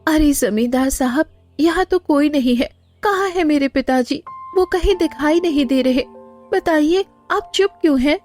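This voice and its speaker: A woman's voice: high-pitched, moderate pace, a little mellow, faint music behind, lightly acted. A woman voicing an innocent, devoted young village woman, polite, earnest and dramatic, in a cartoon moral story. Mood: anxious.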